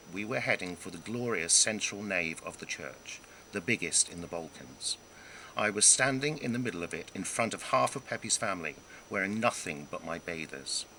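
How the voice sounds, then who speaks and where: Guy narrating his own comic story, really animated and wry, dramatic pauses, some background noise. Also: sits low, low-quality recording. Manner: wry, self-deprecating, dramatic pauses and emphasis. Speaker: author reading own memoir. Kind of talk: scripted narration of a humorous memoir.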